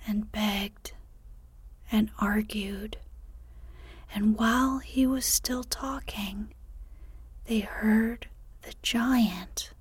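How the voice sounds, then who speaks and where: A woman reading a fairy tale aloud in a soft, unvoiced whisper close to the mic. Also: fairly high pitch, slightly rough, dry recording. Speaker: storyteller.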